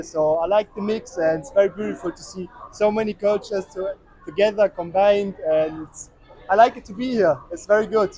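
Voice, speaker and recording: A German man with a German accent, a backpacker, speaking in simple, halting English and repeating himself. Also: high-pitched, darkish tone, no room sound, poor audio.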